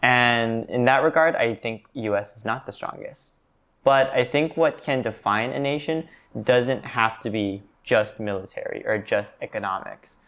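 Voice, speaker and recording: A man's voice: medium pitch, unhurried, fairly bright tone. A young man, a student, answering discussion questions in a thoughtful, hedging way. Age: young adult. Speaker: student panelist. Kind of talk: class discussion.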